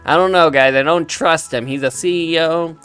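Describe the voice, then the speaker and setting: A man's voice: fairly high pitch, moderate pace, clean tone. A man hosting a let's play, chatting casually and reacting aloud as he plays. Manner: animated, reacting aloud to the game. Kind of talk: casual gameplay commentary. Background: game music with guitar.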